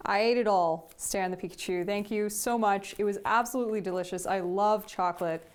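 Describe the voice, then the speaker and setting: A woman's voice: medium pitch, a little fast, clear, resonant tone. A young woman chatting casually as she opens fan gifts, gushing and repeating herself. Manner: gushing, repeats "sweet" often. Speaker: vlogger. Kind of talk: casual gift unboxing chat.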